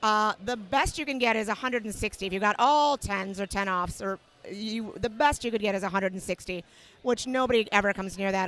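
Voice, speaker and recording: A woman with a slightly hoarse voice calls a match for a livestream. She explains strategy in a relaxed, easygoing way, pausing on fillers as she goes. Her voice sits at an ordinary middle pitch. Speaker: match commentator. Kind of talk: casual sports commentary.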